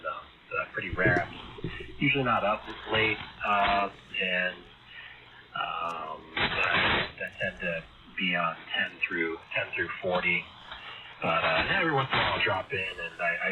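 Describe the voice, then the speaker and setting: A man chatting casually and haltingly as an amateur radio operator over a shortwave link. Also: low-pitched. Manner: hesitant, halting. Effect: heard over shortwave ham radio. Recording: muffled shortwave radio link, loud static.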